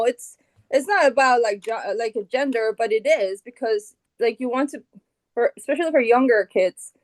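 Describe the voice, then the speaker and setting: A woman's voice: on the high side, darkish tone, no echo. A middle-aged woman from Colombia, a founding member of a band, chatting casually in an interview and thinking aloud with plenty of fillers and restarts.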